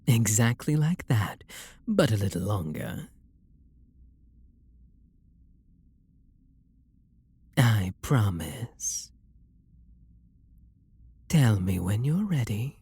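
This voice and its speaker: Breathy, slow British vampire lord, acted by a man. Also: fairly low pitch, big pitch swings, full character voice. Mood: tender, coaxing. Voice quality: breathy, gravelly. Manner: soft, slow, seductive murmur with breathy whispers. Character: refined, possessive, tender male vampire lord lover. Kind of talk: intimate ASMR audio roleplay.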